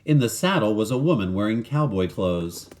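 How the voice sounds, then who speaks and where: A man reading a children's story aloud in expressive scripted narration. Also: lowish voice, normal speed. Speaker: storybook reader.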